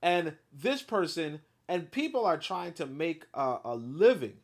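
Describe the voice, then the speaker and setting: Casting note: man on the higher side, reads a book aloud and then breaks into heated, blunt asides full of fillers and swearing. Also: a little slow. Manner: animated, blunt asides with profanity and many fillers. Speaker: host reading a book aloud with commentary. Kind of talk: book reading with heated live commentary.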